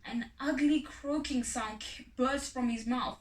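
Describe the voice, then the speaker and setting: Lady reading a kids folk tale, slow and dramatic, pauses a lot, echoey room a bit far from the mic. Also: high-pitched. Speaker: storybook reader. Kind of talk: expressive children's folk tale narration. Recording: echoey room, distant microphone.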